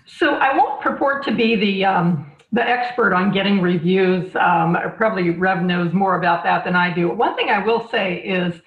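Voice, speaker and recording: A woman in her fifties answering casually and modestly as a guest on a video call, in a measured way. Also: medium pitch. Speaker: guest business publication executive. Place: echoey indoor room on a video call. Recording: echoey room, dull lo-fi call audio.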